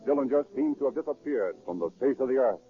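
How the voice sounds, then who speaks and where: A man's voice: mid-range voice, expressive, fast talker, natural voice. A man acting as a grave, florid narrator of a true-crime radio drama in dramatic 1930s style. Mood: grave, urgent. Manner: declamatory, florid, solemn. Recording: tinny 1930s radio broadcast, music behind.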